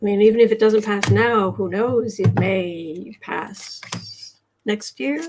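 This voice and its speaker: An American woman hosting a casual tarot livestream, chatting haltingly as she comments and reads out viewer messages, often repeating her words. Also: mid-range voice, background noise. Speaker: host of a live tarot and astrology show.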